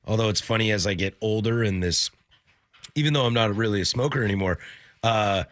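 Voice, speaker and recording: A man in his thirties with a low, slightly raspy voice, co-hosting a radio baseball show in a joking, rambling way. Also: brisk, a bit bright, dry recording. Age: 30s. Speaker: podcast co-host. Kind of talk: casual sports radio chat. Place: radio studio. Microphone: studio mic with headphones.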